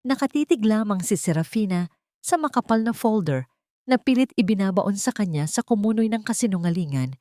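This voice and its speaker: A woman's voice: mid-range voice, animated, brisk, mellow, no echo, clean studio sound. A woman reading scripted, dramatic love-story narration for an audio drama.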